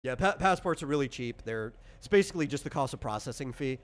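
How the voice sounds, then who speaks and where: A man's voice: mid-range voice, expressive, fast talker. A man acting as game master, casually explaining tabletop rules in a hesitant, thinking-aloud way.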